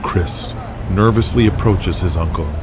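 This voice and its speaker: A man's voice: sits low, unhurried, noisy background. A man narrating a scripted audio drama in the present tense.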